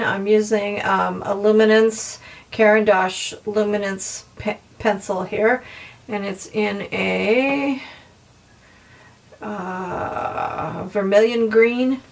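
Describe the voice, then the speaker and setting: A woman with a slightly hoarse voice, narrating a casual art tutorial softly and unhurriedly, with frequent ums and pauses. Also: medium pitch, recorded from a distance.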